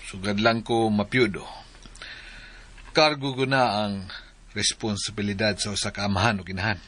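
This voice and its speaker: Casting: blunt, proud dad, Cebuano accent, sharp forceful tone, radio drama. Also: male voice, fairly low pitch, steady tone, normal speed. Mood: softened, sheepish. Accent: Filipino, Cebuano. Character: indignant, forceful widowed father confronting another parent. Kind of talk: Filipino radio drama acting.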